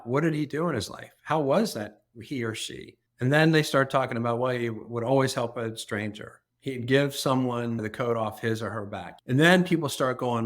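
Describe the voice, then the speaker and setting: A man, an organ donation executive, explaining earnestly as an interview guest, often repeating words as he starts a thought. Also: mid-range voice.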